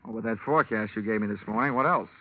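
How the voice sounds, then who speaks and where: A man's voice: lowish voice, quick pace, a little hiss or hum, close to an everyday voice. A man playing a wisecracking radio detective. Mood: pressing. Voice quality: wisecracking, dry. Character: hard-boiled male insurance investigator, wry. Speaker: detective lead and narrator. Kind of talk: 1950s radio drama acting. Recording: muffled old radio recording.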